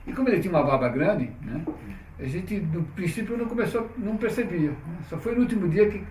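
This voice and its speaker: A man's voice: high-pitched, expressive, fairly quick, darkish tone. A middle-aged man, telling stories in an interview in a chatty way, often tagging his sentences with a question. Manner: chatty, frequent "né?" tags, restarts. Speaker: interviewee, publisher. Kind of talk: relaxed conversational storytelling. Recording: roomy, distant mic, faint noise.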